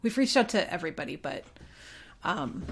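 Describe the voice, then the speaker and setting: A woman's voice: fairly low pitch, sing-song, moderate pace, a bit bright, breathy edge. A woman speaking as a local reporter and podcast guest, informal and playful in casual, bantering chat.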